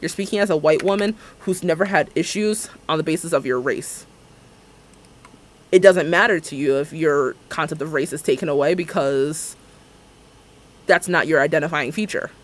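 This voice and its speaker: Brief: male streamer in his 20s, pitched a touch high, chatty and sarcastic, venting while he plays. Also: normal speed. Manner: ranting, animated, sarcastic, mimics others' words. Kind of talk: casual livestream chat while building in a game.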